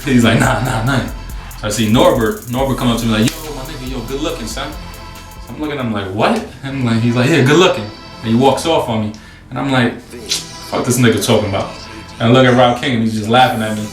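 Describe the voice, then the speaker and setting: A man's voice: medium pitch, fast. Roomy-sounding New York man telling stories. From New York City, USA. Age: 20s. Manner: slangy, profane, animated retelling with acted-out dialogue. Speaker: host. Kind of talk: casual storytelling to camera. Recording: roomy echo, distant mic, faint music.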